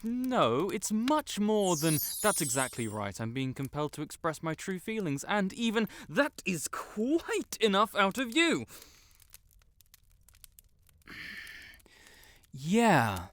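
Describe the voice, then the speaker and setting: A man playing a vain, stuck-up young wizard with a secret soft spot, pompous and theatrical, in a roleplay audio drama. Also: high voice, sing-song, unhurried, hardly any pauses, full character voice. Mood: smug. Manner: pompous, theatrical, haughty, flustered outbursts. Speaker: voice actor.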